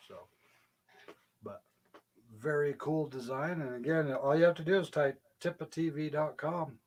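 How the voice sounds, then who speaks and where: Soft-spoken man on a livestream. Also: on the high side, measured pace. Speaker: co-host. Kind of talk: casual livestream chat. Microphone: shared desk mic, off-axis.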